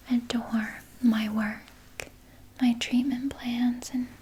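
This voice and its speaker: A woman voicing a sly, doting vampire nurse in a breathy, soothing whisper for an ASMR roleplay. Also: a little high, slight background noise, rough recording. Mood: smug, coy. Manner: slow, soothing, coaxing, softly articulated. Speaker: ASMR performer.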